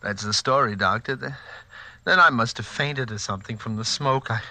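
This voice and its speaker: A man's voice: medium pitch, moderate pace, bright, forceful tone, no room sound. A man playing an unhinged, vengeful asylum inmate, intense and theatrical, in a 1940s radio mystery. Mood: dazed. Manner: intense, theatrical, swinging from narration to gloating. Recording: very noisy 1940s radio recording.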